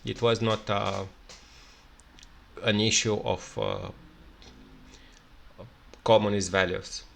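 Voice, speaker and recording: A man with a Romanian accent, speaking English in an unscripted solo commentary, halting with many fillers and restarts. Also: on the low side, flat delivery, gravelly, slight background noise. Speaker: video commentator.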